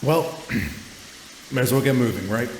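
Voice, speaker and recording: A man's voice: medium pitch, slow, roomy sound, noisy background, close to an everyday voice. A man voicing casual, businesslike criminal henchmen in a tabletop game, offhand and weary. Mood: doubtful, weary.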